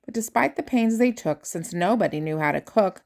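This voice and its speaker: An older woman, a grandmother, reads a rhyming children's picture book aloud with expressive, theatrical emphasis on key words. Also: medium pitch, gravelly. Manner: theatrical emphasis, drawn-out key words, dropping to hushed asides.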